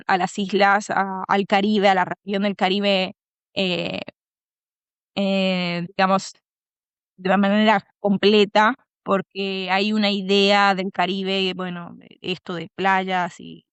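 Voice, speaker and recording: A woman from the Dominican Republic, a guest in an interview, talking thoughtfully and pausing to find her words. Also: medium pitch, fairly bright tone, clean tone, no room sound, highs cut off. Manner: thoughtful, pauses to search for words. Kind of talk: conversational interview.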